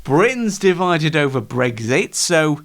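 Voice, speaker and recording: Animated man hosting comedy show. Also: fairly high pitch, measured pace. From United Kingdom. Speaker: show host. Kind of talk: light comedic monologue.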